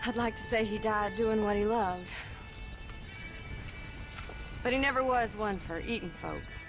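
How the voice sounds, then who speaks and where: A woman's voice: mid-range voice, measured pace, natural voice. Lady voicing a folksy ranch woman, dry wit, music playing under her. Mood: wry. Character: plain-spoken female ranch woman, wry and steady. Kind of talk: video game cutscene voice acting. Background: music score.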